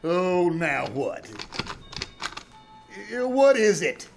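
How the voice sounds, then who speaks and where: Man acting a high-voiced, flustered, melodramatic husband. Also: very animated, extremely slow, gravelly, soft background music, exaggerated voice. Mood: frantic. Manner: theatrical, exclamatory. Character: flustered, melodramatic, anxious husband in a radio comedy. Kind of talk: old-time radio comedy acting. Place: small-town theater stage, live performance. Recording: live stage recording with noise.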